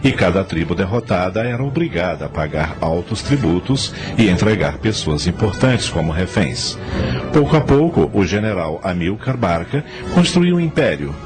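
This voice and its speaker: A man delivers scripted, dramatic radio narration. His voice is fairly low and swings widely in pitch, with strong music running behind it. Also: sing-song, moderate pace. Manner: dramatic storyteller.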